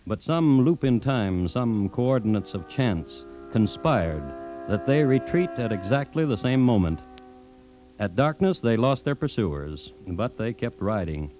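A man narrating an old radio western in a measured, dramatic, folksy storytelling style. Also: medium pitch, sing-song, soft background music. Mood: solemn.

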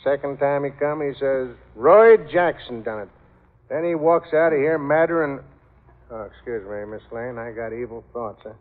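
An actor playing a folksy, chatty old morgue attendant with ungrammatical speech in an old-time radio drama. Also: male voice, medium pitch, normal speed, phone-quality sound, lightly acted. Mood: chatty, matter-of-fact. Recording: noisy, phone-thin old radio recording.

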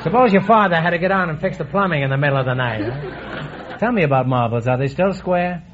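A middle-aged man hosting a quiz show, teasing his guests with quick puns and asides before a live audience. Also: on the high side, lively intonation, a loud crowd, heavy background noise. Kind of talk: wisecracking quiz show banter. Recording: dull old radio broadcast, audience behind.

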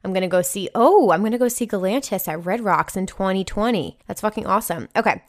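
A woman's voice: mid-range voice, animated, quick pace. A young woman with a New Jersey American accent, a podcast host reading listener emails aloud and reacting in an effusive, slangy, casual way with some swearing. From New Jersey, United States.

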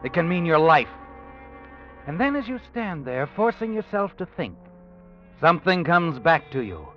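Faint music sits under a dull vintage radio recording of a sinister male narrator. He speaks in an insinuating, dramatic manner, his pitch a little raised. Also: very animated, moderate pace. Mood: ominous, taunting. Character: ominous, all-knowing narrator taunting a killer in second person. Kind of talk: 1940s radio mystery narration.

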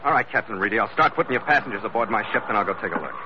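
Blunt, no-nonsense ship's captain played by a man, quick delivery, hard-boiled 1940s radio drama, muffled recording. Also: fairly low pitch, lively intonation, slight background noise, close to an everyday voice. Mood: impatient. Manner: clipped, impatient, no-nonsense. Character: brisk, blunt, tough ship's captain and narrator.

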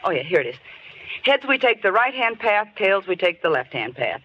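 A woman's voice: mid-range voice, sing-song, moderate pace, slightly rough. An actress playing a sarcastic, bossy city girl who cracks wise in an old radio drama. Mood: wisecracking. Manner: brassy, sarcastic wisecracks. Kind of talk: 1930s radio drama acting. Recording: muffled old radio recording.

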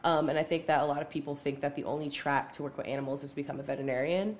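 A woman's voice: low voice, fairly even intonation, very fast, a little mellow, slight background noise. A woman in her thirties, a veterinary nurse guest on a podcast, chatting casually with frequent laughs and fillers. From Pittsburgh area, United States. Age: 30s. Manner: laughs often, many fillers like "um" and "like".

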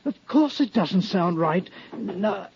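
A man's voice: high-pitched, expressive, slow pace, darkish tone, heavily acted. An actor playing a fussy, pompous old master violin maker in a 1950s radio drama, easily affronted and hesitating as he speaks. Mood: agitated. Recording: crackly, noisy, dull 1950s radio recording.

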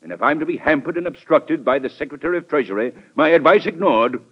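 Animated, proud radio-drama statesman. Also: a little high, quick pace, husky. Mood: indignant. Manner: formal, declamatory, emphatic. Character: proud, idealistic male Secretary of State, quick to indignation. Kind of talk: old-time radio drama acting. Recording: dull vintage radio recording.

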